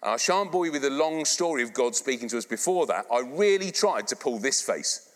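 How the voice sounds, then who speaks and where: A man's voice: medium pitch, fairly quick. A middle-aged English man preaching a sermon to a live congregation, speaking conversationally and coaxing the listeners to repeat phrases back. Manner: animated, conversational, asks the congregation to repeat lines. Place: church hall, live congregation. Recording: long hall reverb.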